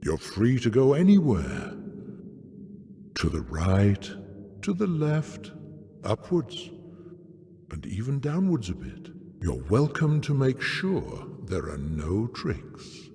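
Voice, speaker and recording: An actor playing a sly, detached enforcer of fate in a video game, speaking in measured, theatrical riddles. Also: male voice, mid-range voice, wildly swooping pitch, warm tone, full character voice. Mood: cryptic, teasing. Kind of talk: video game cutscene acting. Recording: long hall reverb.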